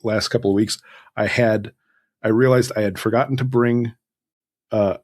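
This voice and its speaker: Casting: male podcast guest, low husky voice, thoughtful conversational delivery with stop-start phrasing. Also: fairly even intonation, normal speed, a little mellow. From Southern California, United States. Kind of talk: conversational interview advice.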